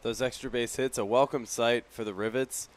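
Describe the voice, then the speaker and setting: A man's voice: mid-range voice, fairly even intonation, moderate pace. A man giving analytical color commentary during a live baseball broadcast.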